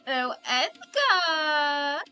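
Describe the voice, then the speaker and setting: A woman's voice: high-pitched, big pitch swings, drawn-out words, sharp tone, soft background music. A young woman giving casual, chatty, slangy reaction commentary as she plays a game. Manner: chatty, slangy, reads dialogue choices aloud. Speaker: streamer.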